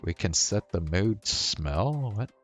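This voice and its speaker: A man's voice: on the low side, big pitch swings, slow, breathy edge, soft background music. A man streaming a visual novel, mixing read-aloud narration with casual commentary on the game.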